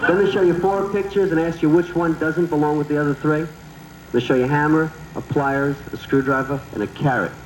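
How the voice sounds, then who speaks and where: A man's voice: a little high, fairly even intonation, a little fast. A man performing an observational stand-up comedy routine. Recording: noisy, echoey old video tape playback.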